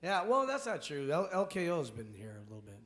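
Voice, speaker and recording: A man's voice: on the high side, wildly swooping pitch, brisk, fairly bright tone. A man guesting on a talk show, chatting easily and introducing his band. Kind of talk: casual chat.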